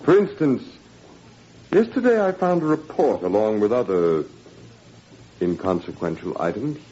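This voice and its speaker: Smug, silky Nazi official, old radio. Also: male voice, fairly low pitch, very animated, a little slow, mellow, breathy edge, exaggerated voice. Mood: sardonic. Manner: silky, sardonic, mock-courteous, drawling hesitations. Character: smug, menacing Nazi education official, former university colleague. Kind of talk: 1940s radio drama acting. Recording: dull, noisy 1940s radio recording.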